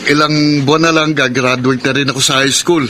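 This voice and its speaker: An actor with a Filipino accent playing a polite, obedient high-school son, respectful and reassuring, in a radio drama. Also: male voice, steady tone, brisk, background noise, close to an everyday voice. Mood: dutiful, reassuring.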